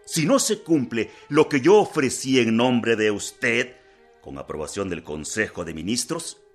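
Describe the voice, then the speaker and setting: Earnest, animated male political leader. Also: mid-range voice, faint music behind. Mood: reproachful, urgent. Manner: formal, emphatic, measured oratory. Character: earnest, indignant male revolutionary political leader, formal and insistent. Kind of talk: historical radio drama acting.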